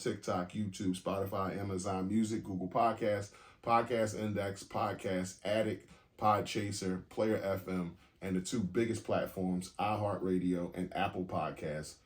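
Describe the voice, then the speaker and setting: A man in his forties co-hosting a podcast, talking in a candid, casual way, cursing freely and laughing easily. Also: on the low side. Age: 40s. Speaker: podcast co-host. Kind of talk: casual chat.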